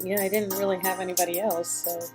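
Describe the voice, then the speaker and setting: A woman's voice: fairly low pitch, little pitch movement, fast talker, darkish tone, soft background music, close to an everyday voice. A woman playing an earnest, modest young aspiring actress, chatting a little hesitantly with an old friend in comedic dialogue. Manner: giggly, hesitant, self-effacing. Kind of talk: improvised comedic dialogue performance.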